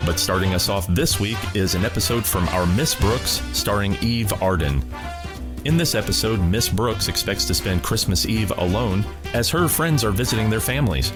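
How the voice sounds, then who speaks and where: A man's voice: lowish voice, moderate pace. A man reading a scripted introduction as host of a radio program. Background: guitar music.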